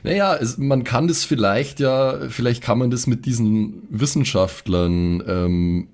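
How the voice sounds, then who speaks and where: A man chatting casually as a podcast regular, brainstorming out loud in loose, tentative phrases. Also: medium pitch, measured pace.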